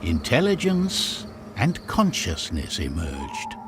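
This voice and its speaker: A man narrating a game's story in a solemn, theatrical storybook style with measured delivery. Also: sits low, huge pitch swings, background noise. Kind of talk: dramatic scripted storybook narration for a game. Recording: music behind the voice. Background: loud music.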